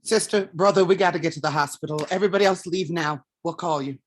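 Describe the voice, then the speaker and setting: A woman playing a solemn storyteller in a staged reading of a play, with measured, declamatory delivery. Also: fairly low pitch, close to an everyday voice. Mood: urgent. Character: solemn storyteller passing on ancestral wisdom, then urgent.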